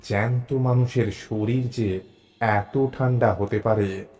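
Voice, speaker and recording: A man narrating a suspense story in the first person, in a measured, theatrical way. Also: on the low side, steady tone, darkish tone, soft background music. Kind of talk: dramatised suspense story narration, acting out dialogue.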